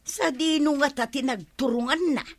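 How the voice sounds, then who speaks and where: An anxious village mother with an Ilocano accent, played by a woman in a barangay comedy. Her voice sits high and carries her worry in conversational drama acting. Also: normal speed, dry recording. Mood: concerned.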